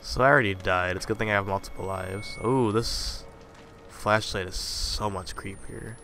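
Low, muttering gamer with a head cold. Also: male voice, fairly even intonation, moderate pace, pushed and bright, slight background noise. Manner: profane, jumpy, muttering asides. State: slightly congested from a cold. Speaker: gamer playing a horror game. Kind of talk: casual commentary.

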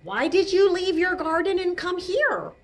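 A woman voicing an excitable, anxious young girl chipmunk in a children's story, eager and exclaiming. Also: extremely high pitch, a little slow, voice pushed up. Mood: nervous. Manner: repeats words, exclaims loudly.

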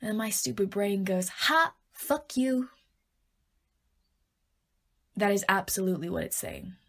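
A woman's voice: medium pitch, brisk, vocal fry. A woman playing a playful, self-deprecating scriptwriter girlfriend in an intimate audio roleplay, with whiny, theatrical pleading that often drops into murmurs. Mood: wry.

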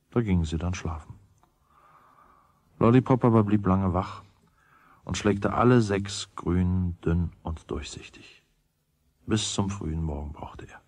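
Deep, husky male storyteller. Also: darkish tone, no room sound. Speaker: narrator. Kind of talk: scripted narration of a radio play, storybook telling.

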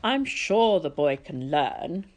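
A woman voicing a kindly older male teacher in a storybook, courteous and gently wry. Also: deep voice, big pitch swings, slow, a little hiss or hum, full character voice. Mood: explaining, reassuring.

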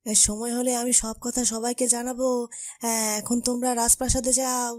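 A woman's voice: fairly high pitch, little pitch movement, darkish tone, close to an everyday voice. A woman playing a hesitant royal astrologer in a cartoon, stammering and humming between phrases. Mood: grave, thoughtful. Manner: halting, stammering, punctuated with hums. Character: hesitant, puzzled royal astrologer of a fairy-tale kingdom. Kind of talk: cartoon voice acting.

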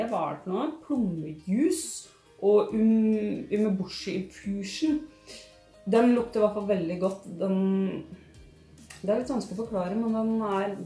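A young woman with a Norwegian accent chatting in a casual haul vlog, gushing and expressive, with lots of sighs and fillers. She sits at a living-room table in front of a fixed camera. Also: mid-range voice, echoey room. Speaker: beauty and lifestyle vlogger. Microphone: fixed camera at table distance.

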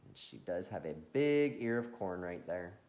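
A man reading a children's picture book aloud as a bedtime story, expressive and playful, with friendly asides. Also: sits low, normal speed. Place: farm, reading at home to camera.

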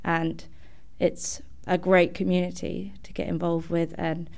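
A woman's voice: on the low side, little pitch movement, unhurried, vocal fry, a little mellow. A young adult woman, a student guest, giving earnest conversational answers in a podcast interview.

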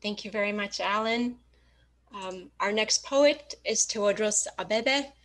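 A woman's voice: medium pitch, unhurried. A woman hosting an online poetry event, reading a prepared introduction of the next poet. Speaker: host. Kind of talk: introducing speakers. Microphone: video call.

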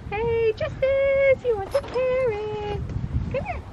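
A woman's voice: very high voice, slow, muffled recording, background noise. A young woman outdoors on a farm, sweet-talking to animals in a cooing way. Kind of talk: casual travel vlog.

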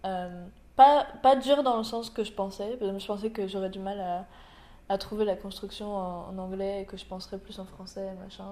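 A woman's voice: mid-range voice, fairly even intonation, a little fast, mellow. A young woman, a native French speaker, hosting a casual chat, talking in loose trailing phrases with frequent fillers and laughter. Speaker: interviewer, vlog host. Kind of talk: casual conversation mixing French and English.

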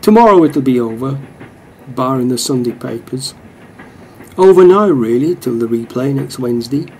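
A man's voice: medium pitch, vocal fry, darkish tone, rough recording. A man playing a wistful, plain-spoken football supporter in a radio play monologue. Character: wistful male football supporter narrating a train ride home. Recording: train noise behind the voice.

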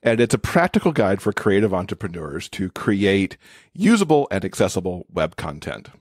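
A man's voice: low-pitched, sing-song, normal speed, no room sound, studio quality. A man chatting casually as an author guest in a podcast interview.

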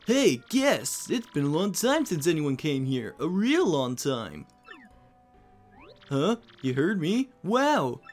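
A man's voice: on the high side, big pitch swings, slow, faint music behind, full character voice. A man voicing a wisecracking male talking treasure chest with a slick, joking, salesman-like patter. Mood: chummy, wheedling.

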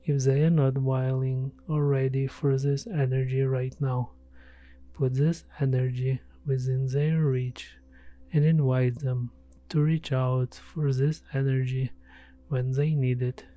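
Slow, mellow male meditation guide. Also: mid-range voice, level tone, no room sound. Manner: soothing, gentle, measured delivery. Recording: soft music behind the voice. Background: background music.

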